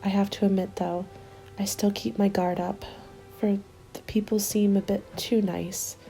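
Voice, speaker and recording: Woman doing a soft breathy survivor in a zombie winter, asmr roleplay diary, mellow voice, bit of creak, music under it. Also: mid-range voice, level tone, measured pace, low-quality recording. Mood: guarded wonder. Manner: soft, hushed and intimate, often slipping into whispers. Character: weary, hopeful female zombie-apocalypse survivor writing a winter journal. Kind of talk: ASMR roleplay, scripted dramatic narration.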